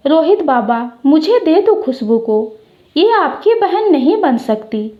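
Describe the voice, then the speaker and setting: A poor housemaid and mother, acted by a woman storyteller. She speaks slowly and deferentially in a high voice, humble and pleading, as in a moral tale. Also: dull audio, exaggerated voice. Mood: apologetic.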